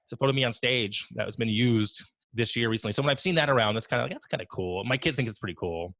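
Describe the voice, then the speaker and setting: A man in his forties on a video call from his home office, talking in a relaxed, rambling, self-deprecating way. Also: medium pitch, fast talker, no room sound. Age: 40s. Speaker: guest. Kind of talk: casual video call interview.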